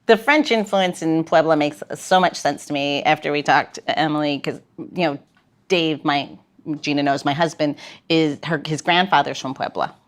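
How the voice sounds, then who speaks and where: A woman's voice: sits low, normal speed. A woman co-hosting a podcast, chatting casually and reflecting with her guest. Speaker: podcast co-host. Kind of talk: casual chat.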